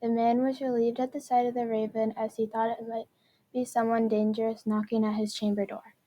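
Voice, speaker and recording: A woman's voice: a little high, flat delivery, a little fast, dark tone. A woman reading scripted story narration aloud, carefully and with the odd stumble. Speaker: student reader.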